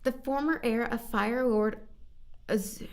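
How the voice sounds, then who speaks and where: A woman reading scripted narration as an amateur voice actor, halting and stumbling over words as she does retakes. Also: fairly high pitch, steady tone, a little mellow.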